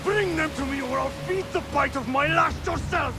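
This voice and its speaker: An actor playing a boastful, furious naval admiral in a video game, barking threats and insults. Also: male voice, extremely high pitch, normal speed. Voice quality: gravelly, shouting commands. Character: boastful, raging naval admiral, middle-aged man. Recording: game audio over loud music.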